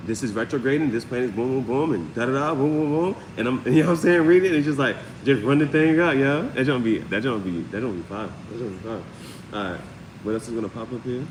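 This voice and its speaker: A man's voice: medium pitch, fast. A man giving a casual, off-the-cuff live tarot reading as host, often repeating phrases and peppering his talk with slang and sound words. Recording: roomy long reverb, clear steady background noise.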